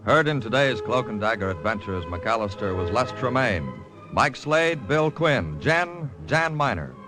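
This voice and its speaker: A male announcer reads scripted credits in a formal, orderly vintage radio style. His pitch is medium, and music plays clearly behind him. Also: very animated, unhurried, poor audio. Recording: old radio broadcast recording.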